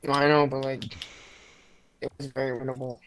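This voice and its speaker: A man's voice: medium pitch, monotone, normal speed, slight background noise. A teenage boy chatting casually with a friend while they play a game together. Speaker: co-player. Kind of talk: casual gaming chat. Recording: voice chat with faint music behind.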